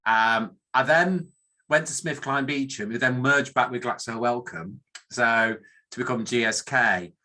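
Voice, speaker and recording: A man's voice: medium pitch, unhurried, sharp tone. A middle-aged man with a northern English accent gives an informal career talk in a meandering, self-deprecating way, often restarting his sentences. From northern England. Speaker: academic statistician giving a career talk. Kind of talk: informal presentation.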